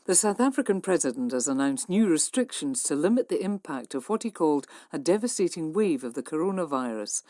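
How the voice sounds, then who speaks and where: A woman newsreader delivering a radio news bulletin in a crisp, formal way. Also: deep voice, warm tone, no echo, clean studio sound.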